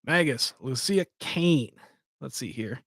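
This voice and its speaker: A man's voice: mid-range voice, expressive, slow pace, breathy edge, no echo, clean studio sound. A man hosting a live stream, chatting casually and rambling as he talks to his viewers. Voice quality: says he has a soft voice. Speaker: podcast host.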